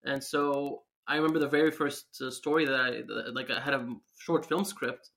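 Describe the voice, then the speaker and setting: A man's voice: mid-range voice, fairly even intonation, moderate pace, fairly bright tone. A man in his twenties from Syria, a guest talking eagerly with a light laugh about how he got into making comics. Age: 20s. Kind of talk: casual interview chat.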